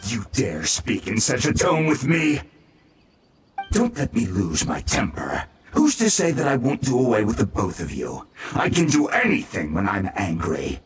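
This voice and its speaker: Deep, swaggering bandit warlord. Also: male voice, huge pitch swings, unhurried. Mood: threatening. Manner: taunting, mocking, blustering. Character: menacing, gloating bandit samurai warlord, armored man. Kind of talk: video game character acting.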